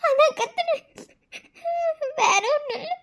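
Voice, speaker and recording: A woman's voice: extremely high pitch, expressive, unhurried, fairly bright tone, full character voice. A woman voicing a frightened young wife who sobs and pleads. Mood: tearful. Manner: sobbing, pleading. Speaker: voice actor. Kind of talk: audio drama acting.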